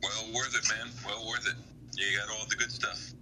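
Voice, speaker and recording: A man with a deep voice chatting casually and emphatically on a live gaming stream, full of opinions. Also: little pitch movement, normal speed. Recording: clearly noisy live stream audio.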